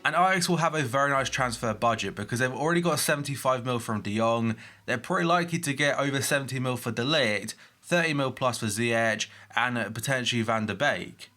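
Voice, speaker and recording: A man's voice: mid-range voice, fairly quick, few pauses, soft background music. Groggy-voiced man reading football news. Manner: steady newsreading with brief personal asides. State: groggy voice from hay fever. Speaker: football transfer news youtuber. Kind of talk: reading transfer news roundup.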